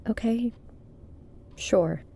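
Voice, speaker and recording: A young woman with a gravelly fry and a dark, mellow tone acted by a woman. She is guarded and brooding, understated as in a horror audio play. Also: fairly high pitch, drawn-out words, a little hiss or hum, full character voice. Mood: guarded, skeptical. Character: guarded, brooding daughter of a missing father. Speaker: voice actor. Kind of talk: naturalistic horror audio drama acting.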